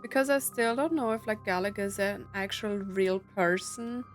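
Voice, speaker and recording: A woman's voice: mid-range voice, normal speed. A woman streamer casually reacting to a game, emotional and hesitant as she speaks. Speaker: gaming streamer. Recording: loud game music behind the voice.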